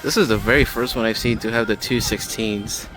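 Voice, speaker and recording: A man's voice: on the low side, brisk, a bit bright, rough recording. Man vlogging casually over store music. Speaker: hobbyist vlogger. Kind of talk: casual walk-through commentary. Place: inside a large home improvement store. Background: background music in a store.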